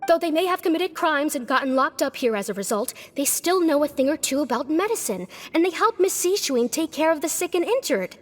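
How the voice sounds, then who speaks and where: Prim, high-voiced nurse's helper. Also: female voice, expressive, brisk, hardly any pauses, in a large hall. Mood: indignant. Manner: polite, proper, lecturing. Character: prim, earnest female prisoner helping as nurse. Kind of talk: English game dub acting.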